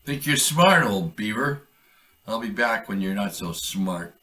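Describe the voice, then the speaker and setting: A man's voice: fairly low pitch, lively intonation, unhurried, bright, forceful tone, distant mic. A man voicing a scornful male trapper who taunts a beaver.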